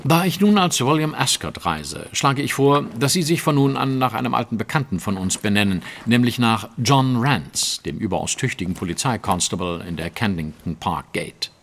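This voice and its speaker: An actor playing a shrewd, composed male detective in an audio drama, speaking in a measured, formal, precise way. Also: medium pitch, animated, creaky, a bit bright. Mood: matter-of-fact. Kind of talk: German audio drama dialogue.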